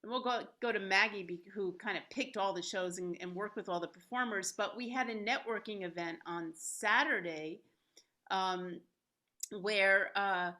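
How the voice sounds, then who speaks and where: Bright-voiced woman hosting an online event. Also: medium pitch, normal speed. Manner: enthusiastic, promotional. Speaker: host and founder of a theater. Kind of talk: hosting a live video-call event. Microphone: video call.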